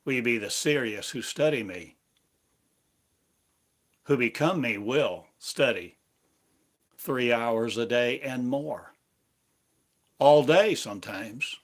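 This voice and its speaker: Slow, solemn male preacher. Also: mid-range voice. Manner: solemn, measured, long pauses between phrases. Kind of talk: slow prophetic sermon speaking as God.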